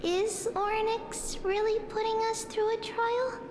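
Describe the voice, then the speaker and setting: A woman's voice: very high voice, level tone, takes it slowly, echoey room, noisy background. A woman voicing a small, innocent girl in a fantasy video game, childlike, earnest and a little timid. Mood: gentle, uneasy.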